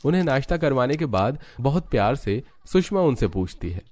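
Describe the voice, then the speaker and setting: A man's voice: mid-range voice, big pitch swings, moderate pace, a little mellow. A man narrating a scripted story as the storyteller.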